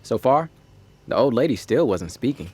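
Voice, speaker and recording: An actor playing a sardonic ghost who narrates a first-person audio drama in a wry, folksy storytelling manner. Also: male voice, mid-range voice, sing-song, moderate pace, darkish tone, slight background noise, natural voice. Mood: wry, observing. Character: sardonic male ghost narrating his own haunting.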